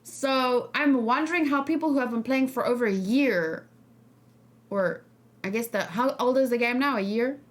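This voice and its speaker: Female streamer, fairly high voice, casual and rambling, reacting and discussing off the cuff. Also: moderate pace. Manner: rambling, thinking aloud, hesitant. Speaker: streamer host.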